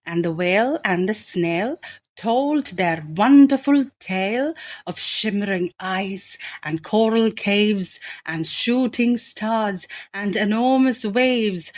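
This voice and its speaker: A woman reading a rhyming picture book aloud as a bedtime story, slow, gentle and rhythmic. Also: medium pitch. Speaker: storybook reader.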